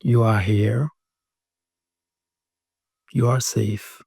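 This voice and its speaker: A man narrating a guided meditation in a soothing, measured way, speaking calm scripted instructions in short phrases. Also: fairly low pitch, vocal fry, slightly rough, no room sound, clean studio sound. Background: singing bowl tones.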